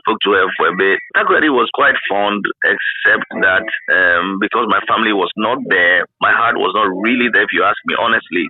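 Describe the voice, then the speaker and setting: A man's voice: on the low side, fairly quick, hardly any pauses, slight background noise. A man with a Ghanaian accent, middle-aged, reminiscing in a casual interview and often repeating words for emphasis. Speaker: interviewee, former radio broadcaster. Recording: narrow phone-like line.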